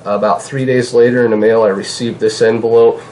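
A man in his thirties with a stuffed-up nose from a cold, hosting a casual gun-gear vlog from his kitchen table, chatty and relaxed with many fillers. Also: medium pitch, fairly quick, a little hiss or hum, poor audio. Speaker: gun channel host. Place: home kitchen, indoors.

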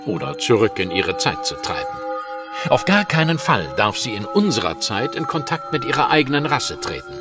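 An actor playing a cool, logical Victorian detective in a German audio drama, speaking formally and in a measured, analytical way. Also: male voice, medium pitch, very animated, natural voice. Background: music.

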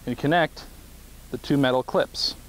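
A man's voice: mid-range voice, measured pace, a bit bright, slight background noise, rough recording. A man in his thirties giving a plain step-by-step demonstration outdoors in a grassy field, speaking in short phrases while he works. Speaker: product demonstrator.

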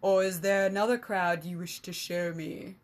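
A woman voicing a smug male imperial emissary who talks slow and oily smooth. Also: mid-range voice, expressive, a little mellow. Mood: mocking.

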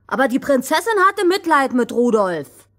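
A woman's voice: sits high, big pitch swings, normal speed. A woman storyteller giving scripted fairy-tale narration as part of a conversational exchange.

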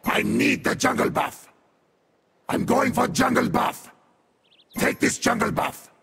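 A man playing a sadistic, vengeful infernal beast warrior in a video game, with a harsh demonic growl and theatrical, deliberate delivery. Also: extremely high pitch, vocal fry, a bit bright, breathy edge, full character voice. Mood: commanding, urgent. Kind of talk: video game character voice lines.

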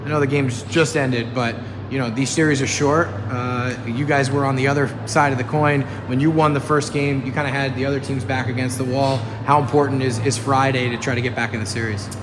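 A man working as a reporter, putting measured, multi-part questions to a player after a game. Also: mid-range voice, little pitch movement, noisy background. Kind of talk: postgame interview questions. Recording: reverberant room with music behind.

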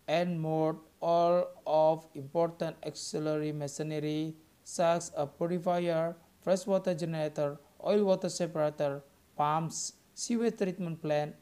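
Young indonesian guy reading out his class presentation, real slow and halting, soft mellow tone. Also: fairly high pitch, clear, resonant tone. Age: young adult. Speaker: maritime student presenting. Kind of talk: read-aloud scripted presentation.

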